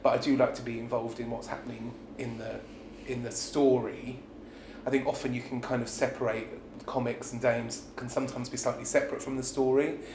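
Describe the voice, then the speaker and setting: A man with a British accent talking informally and reflectively in an interview, often letting a thought trail off. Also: mid-range voice. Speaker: pantomime dame performer. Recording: roomy echo with background noise.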